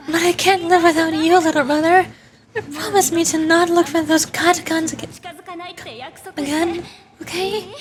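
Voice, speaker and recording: A woman voicing a loving, worried young big sister from a video game, tender and pleading. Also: very high-pitched. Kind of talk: reading game dialogue aloud in character.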